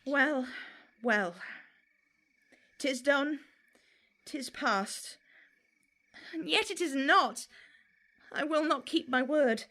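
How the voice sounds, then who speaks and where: Actress as fickle, teasing young Trojan woman for verse drama; high, bright, declamatory. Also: heavily acted. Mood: wavering. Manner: slow, declamatory, wavering. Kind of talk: theatrical Shakespearean verse acting.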